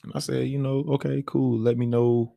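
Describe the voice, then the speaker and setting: A man's voice: mid-range voice, little pitch movement, moderate pace, creaky, warm tone. A man with an American accent telling a story casually, in slangy everyday talk full of check-in phrases. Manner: slangy, often says "you know what I'm saying". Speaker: stage actor discussing his part.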